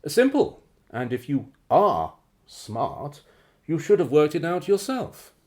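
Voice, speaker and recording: A genteel villain of a radio drama, slightly high in voice and wildly swooping in pitch. He draws out his words with mocking courtesy, sounding suave, condescending and quietly dangerous. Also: very animated. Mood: silky menace. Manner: theatrical, condescending, chuckling politeness with drawn-out phrases. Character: suave, menacing, drawling male crime boss, mockingly genteel. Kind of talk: radio play acting.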